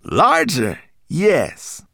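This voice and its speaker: A man voicing a brusque, furry male mountain creature who talks high and impatiently. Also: wildly swooping pitch, drawn-out words, vocal fry, bright, forceful tone, no echo, clean studio sound, exaggerated voice. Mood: offhand.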